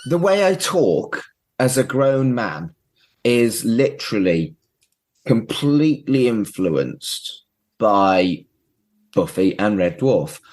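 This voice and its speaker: A man's voice: on the low side, very animated, slow, darkish tone. A man in his forties hosting a casual podcast, talking in an emphatic, sweary way. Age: 40s. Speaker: podcast host.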